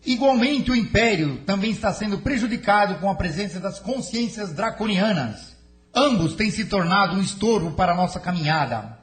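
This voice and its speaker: A man acts out a dignified delegate in an audio drama. His voice is high for a man. He delivers an earnest, solemn address with formal phrasing. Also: expressive, normal speed, faint music behind. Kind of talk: spiritist audio drama acting.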